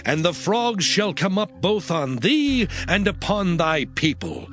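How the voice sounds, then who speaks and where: A man's voice: high-pitched, huge pitch swings, takes it slowly, fairly bright tone, soft background music, exaggerated voice. A man playing a stern, commanding prophet, declaiming solemn warnings in a dramatized scripture recording.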